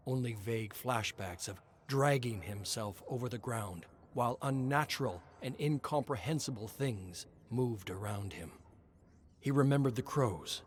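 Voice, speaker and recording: A man's voice: mid-range voice, slight background noise. A man reading a scripted horror story as its narrator.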